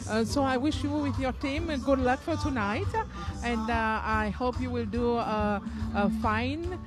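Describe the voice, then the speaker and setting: A woman interviewing a guest at a live evening event, asking questions haltingly with many fillers. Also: fairly high pitch. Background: loud music playing.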